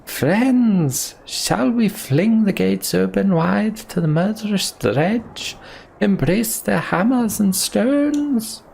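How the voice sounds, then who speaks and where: A man voicing a pompous, scheming male chieftain who sneers and shouts to rouse a mob in a game. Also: high voice, animated, slow, vocal fry, slight background noise, voice pushed up, exaggerated voice. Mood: rabble-rousing.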